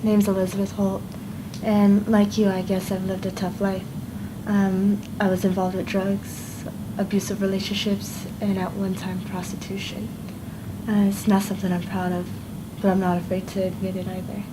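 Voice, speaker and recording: Actress as a frank woman in her twenties, flat delivery, noisy sound. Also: medium pitch, normal speed, natural voice. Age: 20s. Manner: frank, even-toned. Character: tough 25-year-old woman with a hard past. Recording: noisy indie film audio.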